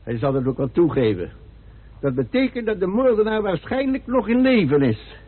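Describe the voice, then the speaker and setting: A man's voice: high-pitched, sing-song, normal speed, heavy background noise. An actor playing a dry, authoritative male official at an inquest in a radio play. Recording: muffled old radio recording.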